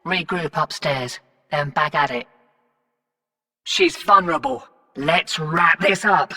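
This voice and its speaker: An actress playing a brash, wry, streetwise space-colony fixer in a video game, with a laconic, cocky, slangy drawl. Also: female voice, sits low, very animated, slow, bright, forceful tone, long reverb tail, recorded from a distance, full character voice. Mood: urgent, commanding. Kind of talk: scripted video game character dialogue.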